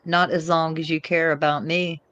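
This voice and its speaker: A woman's voice: deep voice, flat delivery, moderate pace, fairly bright tone, dry recording. A woman reading the part of a disillusioned young female outlaw in love, with plaintive, folksy phrasing. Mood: tender, resigned. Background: faint music.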